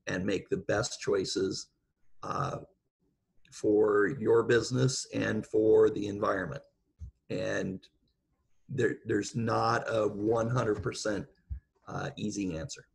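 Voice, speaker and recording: A man giving an informal expert talk over a video call, explaining things in a halting, measured way. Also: on the low side, fairly even intonation, creaky, rough recording. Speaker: guest speaker, seafood industry professional.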